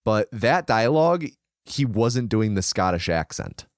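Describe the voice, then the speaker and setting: A man with a lowish, smooth and resonant voice talks through movie trivia on a podcast, recorded dry and close. He explains in a relaxed, chatty way, with plenty of "like" and "um" between points. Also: measured pace, no room sound. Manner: informative, shares researched trivia with frequent fillers. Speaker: podcast co-host. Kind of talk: casual film podcast chat.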